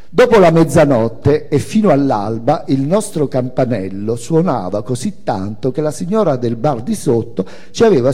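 An older man reading a memoir theatrically, in measured phrases with dramatic pauses and emphasis. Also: mid-range voice, warm tone, slightly rough. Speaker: author and performer reading own memoir on stage. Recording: hall reverb of a live reading.

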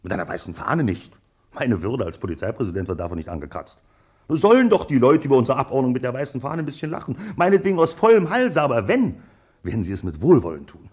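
Shrewd police chief for radio drama: persuasive, official, husky, lively intonation. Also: male voice, medium pitch, fast talker. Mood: firm, persuasive. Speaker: actor. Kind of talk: German radio drama acting.

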